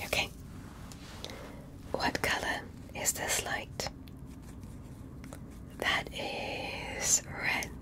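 Asmr lady whispering real slow like a gentle examiner doing a checkup, breathy, careful and reassuring. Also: low-quality recording. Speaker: ASMR roleplayer acting as an alien medical examiner. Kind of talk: ASMR medical roleplay.

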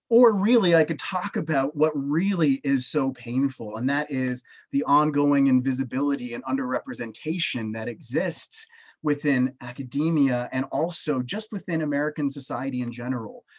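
A man's voice: medium pitch, moderate pace, husky. A man in his thirties with a Canadian accent, a panelist telling his own experience on a video call from a small home office. He speaks earnestly and with emphasis. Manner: earnest, emphatic, gestures with both hands. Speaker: panelist, professor. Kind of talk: personal testimony on a video call. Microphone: webcam on a video call.

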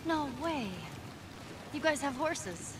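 A woman's voice: sits high, expressive, slow pace, noisy background. A woman playing a curious, plucky teenage girl in a naturalistic video game drama, informal and full of teasing questions. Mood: friendly.

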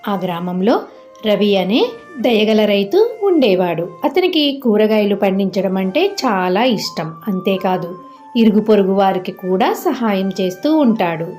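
A woman's voice: mid-range voice, sing-song, a little slow, darkish tone. Female storyteller over faint music. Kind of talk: scripted children's moral story narration.

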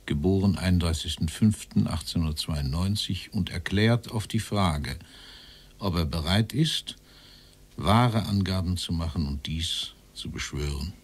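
An actor playing a stern interrogator in a documentary radio drama, formal and probing in manner. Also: male voice, low voice, unhurried, slightly rough. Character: stern male interrogator questioning a Nazi-era official.

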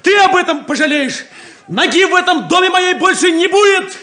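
A boyish, petulant hothead in an old radio drama. His extremely high, piercing voice leaps about excitably, on an echoey and noisy recording. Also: moderate pace. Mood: furious, wounded. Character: hot-headed, boyish, naive younger brother. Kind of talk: 1970s Soviet radio play acting.

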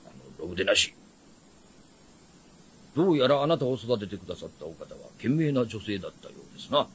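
An actor playing a stern retainer of a noble house, speaking formal, archaic Japanese with haughty deference in a period fantasy radio drama. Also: male voice, fairly low pitch, very animated, brisk, slight background noise, rough recording. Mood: stern, reproving.

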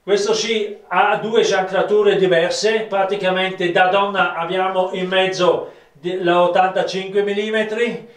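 Man, fifties, South Tyrolean accent, slow halting product presentation, distant mic, echoey room. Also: high-pitched, level tone. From South Tyrol, Italy. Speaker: ski maker presenting own products. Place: small wood-panelled rooms of an old farmhouse. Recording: roomy, picked up from a distance.

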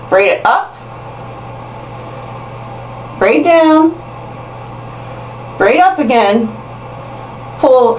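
Roomy, chatty woman giving a hair tutorial. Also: on the high side. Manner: chatty, self-deprecating. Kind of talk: casual how-to instruction to viewers. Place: small tiled bathroom, indoors. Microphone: camera mic at a short distance. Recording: roomy, distant camera mic with hiss.

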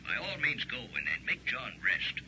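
A man's voice: mid-range voice, wildly swooping pitch, moderate pace. A man playing a grave, authoritative doctor in an early 1960s radio drama. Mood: grave, cautioning. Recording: muffled old radio recording with hiss.

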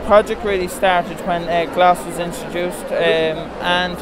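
A man's voice: high voice, fairly even intonation, measured pace, crowd noise, rough recording. A teenage boy with an Irish accent describing his project hesitantly. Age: teens. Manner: hesitant, plain spoken. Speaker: student exhibitor.

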